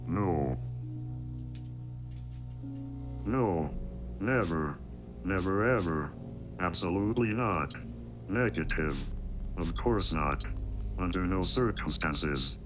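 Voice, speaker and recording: A man playing a fanatical computer oracle turned prophet of a dark god, preaching grandiosely in a scripted horror monologue. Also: sits low, animated, slow pace. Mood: flatly refusing. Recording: music behind the voice.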